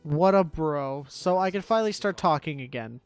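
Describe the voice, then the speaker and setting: A man's voice: a little high, lively intonation, moderate pace, clean tone, faint music behind. A man giving casual live commentary as a game streamer, with wry, informal asides.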